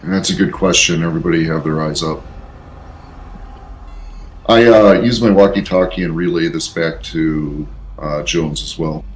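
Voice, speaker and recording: Low-voiced man playing a tabletop game. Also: fairly even intonation, moderate pace, slight background noise. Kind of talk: casual game-table talk.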